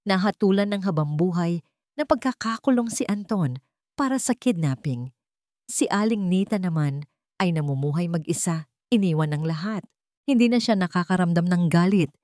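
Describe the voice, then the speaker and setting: A Filipino woman narrates a scripted love story in a dramatic style. Her voice sits low and moves with lively, animated melody. The sound is dry and close. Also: no echo.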